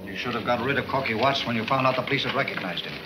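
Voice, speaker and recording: Guy as icy gangster boss, fast clipped orders, old 40s movie sound with music. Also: mid-range voice, close to an everyday voice, poor audio. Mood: stern, menacing. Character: cold, commanding gang boss giving orders. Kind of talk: 1940s film serial acting.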